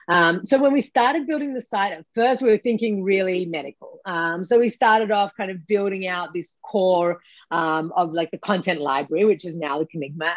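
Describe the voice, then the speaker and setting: A woman's voice: fairly low pitch, no room sound. Australian woman on a dull video call. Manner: chatty, many "um"s and self-corrections. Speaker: guest, company co-founder and CEO. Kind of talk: casual interview over video call. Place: home office.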